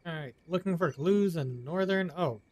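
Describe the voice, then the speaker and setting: A woman's voice: lowish voice, normal speed, darkish tone. A woman casually commenting on her own gameplay, muttering to herself with little hums. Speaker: game streamer.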